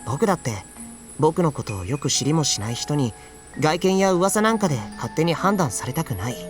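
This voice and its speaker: A man playing a gentle, principled schoolboy in a Japanese visual novel, speaking softly and sincerely. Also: on the high side, expressive, very fast, faint music behind, natural voice. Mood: earnest conviction.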